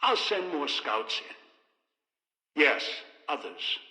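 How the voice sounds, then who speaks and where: An older actor playing a cold, paranoid, scheming old man in a video game, with deliberate, halting, contemptuous delivery. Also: on the high side, sing-song, bright, forceful tone, echoey room, big-room sound, exaggerated voice. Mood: guarded, suspicious. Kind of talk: dramatic video game voice acting.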